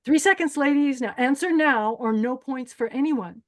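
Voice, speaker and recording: A woman's voice: sits high, close to an everyday voice. Woman playing a bossy, menacing female pageant host. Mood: commanding. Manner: brisk, commanding. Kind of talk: screenplay table read acting.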